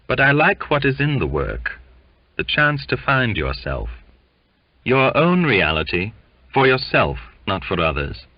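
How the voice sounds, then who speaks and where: A man with a deep voice reads literary fiction as an audiobook narrator. His storytelling is measured and expressive. The sound is very dry, with a dull, lo-fi finish. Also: dry recording.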